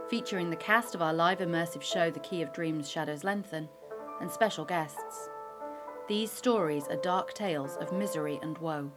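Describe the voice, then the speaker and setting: A woman presents a scripted podcast introduction as its host. The pitch is a little low for a woman, and music plays clearly behind the voice. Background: clear background music.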